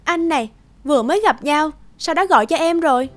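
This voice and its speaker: A sweet young cartoon girlfriend with a high voice. She is polite, sensible and gentle, with clear music playing underneath. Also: animated, brisk. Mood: gently chiding. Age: young adult. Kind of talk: cartoon voice acting.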